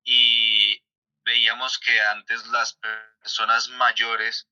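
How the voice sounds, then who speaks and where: A man answering conversationally in an interview, halting and repeating words as he searches. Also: medium pitch, level tone, sharp tone, soft background music, low-quality recording. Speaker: theatre performer being interviewed.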